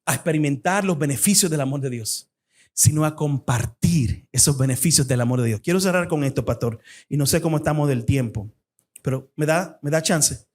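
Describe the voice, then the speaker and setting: Young Dominican man, high-pitched, fervent fast-talking guest preacher making an appeal in church. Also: darkish tone. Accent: Dominican Spanish. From Dominican Republic.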